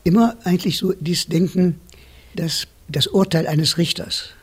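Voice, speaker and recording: A man's voice: sits high, fairly even intonation, measured pace, breathy edge. An older man speaking reflectively and measuredly in a documentary interview.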